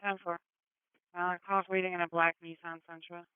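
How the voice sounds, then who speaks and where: A man's voice: sits high, little pitch movement, moderate pace, clear, resonant tone, dry recording. A man working as an emergency dispatcher, talking over a two-way police radio. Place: radio transmission heard over a scanner. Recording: narrow tinny police scanner radio.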